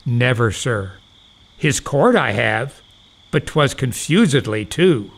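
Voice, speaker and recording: Man acting old male doctor, audio farce, slow delivery, wildly varied pitch, gullible, pompous, awestruck. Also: sing-song, creaky, heavily acted. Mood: deferential, eager. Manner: rapturous, drawn-out exclamations, deferential. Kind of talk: theatrical farce acting in period English.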